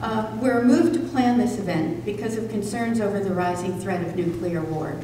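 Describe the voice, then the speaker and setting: An older woman at a podium in a community hall, reading a prepared introduction in a measured, careful way. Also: medium pitch, warm tone. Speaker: event organizer introducing a speaker. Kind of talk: prepared introduction read from notes. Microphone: stand microphone at podium, picked up distantly. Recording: echoey hall from far off, faint noise.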